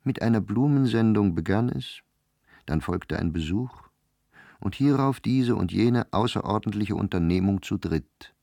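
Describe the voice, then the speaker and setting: A man narrating a literary radio drama in measured, formal storytelling. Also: on the low side, lively intonation, gravelly, dark tone, dry recording, clean studio sound.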